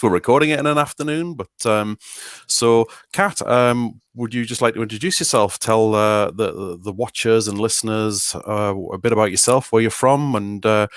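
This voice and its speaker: A man's voice: on the low side, no echo, clean studio sound. A middle-aged man co-hosting a casual interview in a friendly, welcoming, wordy way. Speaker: podcast co-host.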